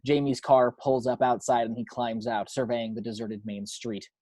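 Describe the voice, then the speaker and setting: A man's voice: mid-range voice, little pitch movement, normal speed, dark tone, dry recording. A man reading screenplay action and scene headings as narrator for a table read at home. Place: at home, dry close recording.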